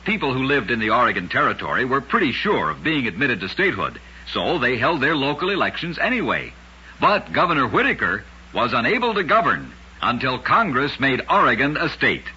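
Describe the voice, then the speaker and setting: A man's voice: medium pitch, sing-song, brisk, bright, forceful tone, breathy edge. A man hosting and narrating scripted old-time radio trivia stories in a dramatic, emphatic manner. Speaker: radio show host and storyteller. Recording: dull, lo-fi old radio recording.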